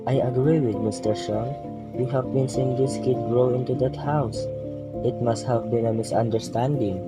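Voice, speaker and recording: A man's voice: medium pitch, fairly even intonation, normal speed, close to an everyday voice. A young man in a student radio drama, playing a protective, suspicious neighbor. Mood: protective, demanding. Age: young adult. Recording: loud guitar music behind.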